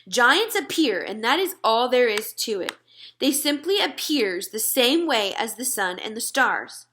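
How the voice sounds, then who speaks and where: A woman's voice: on the high side, very animated, measured pace, fairly bright tone, a little hiss or hum. A woman voicing a very old friendly giant in a children's storybook, declaiming theatrically and indignantly in garbled grammar. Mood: emphatic. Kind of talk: children's storybook read-aloud.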